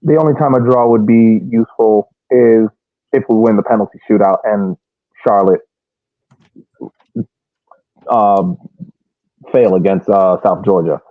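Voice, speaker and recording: A man's voice: fairly low pitch, fairly even intonation, unhurried, long pauses, no room sound. A man co-hosting a sports podcast in casual chat, often repeating words as he restarts phrases. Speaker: soccer podcast co-host. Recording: tinny phone-like line, dry.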